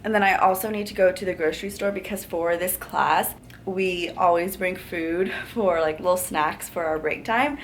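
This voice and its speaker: A woman's voice: lowish voice, normal speed. A woman in her twenties, a grad student chatting casually in a vlog, sounding congested from allergies. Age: 20s. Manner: chatty, upbeat, rambling with many likes. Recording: noticeable background noise.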